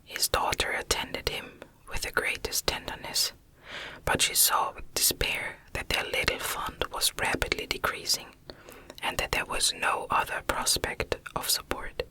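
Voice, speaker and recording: A woman reading a classic novel as ASMR narration in a hushed, unhurried, measured whisper. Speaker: audiobook reader.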